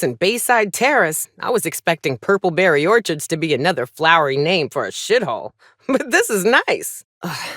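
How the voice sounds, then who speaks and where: An actress playing a tough, wry, hard-drinking space trailblazer in a video game, with a sardonic, folksy and salty-tongued manner. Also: female voice, fairly low pitch, a bit bright, dry recording, clean studio sound. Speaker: companion character in a video game. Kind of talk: video game voice acting, short reactive lines.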